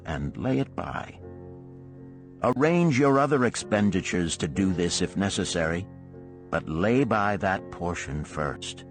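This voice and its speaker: Wealthy elder sage for a storybook parable, male reader: lowish, lively inflection, formal, emphatic, self-assured teaching. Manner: rhetorical, formal, emphatic oratory. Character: wealthy wise older Babylonian man, emphatic, instructive, self-assured. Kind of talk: dramatised storybook parable reading.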